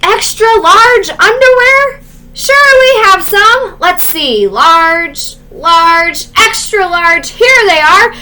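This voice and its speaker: A woman doing a loud storybook lad, shouting, high-pitched, forceful, emphatic town-crier hollering. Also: animated, slow, heavily acted, poor audio. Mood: loud, eager. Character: noisy young man bellowing like a town crier.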